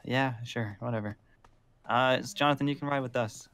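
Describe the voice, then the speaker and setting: A man's voice: medium pitch, steady tone, normal speed, fairly bright tone, close to an everyday voice. A man improvising a weary, reluctant zombie survivor in a game roleplay, with a hesitant, sighing delivery. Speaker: player in a Minecraft roleplay.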